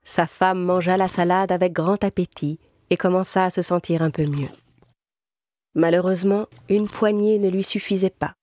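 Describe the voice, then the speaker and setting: Low-pitched woman narrating a fairy tale. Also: fairly even intonation, normal speed, a little mellow, slight background noise. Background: music.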